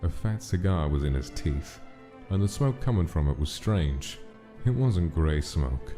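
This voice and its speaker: A man's voice: a bass voice, sing-song, unhurried, dark tone, full character voice. A man reading scripted story narration as the narrator of a drama. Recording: music clearly under the narration.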